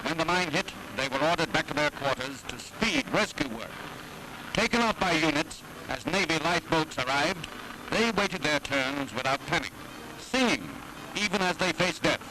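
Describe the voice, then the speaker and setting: A man's voice: fairly high pitch, animated, moderate pace, noisy background. An American man reading dramatic, declamatory scripted narration for a 1940s newsreel. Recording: archival 1940s newsreel, music behind.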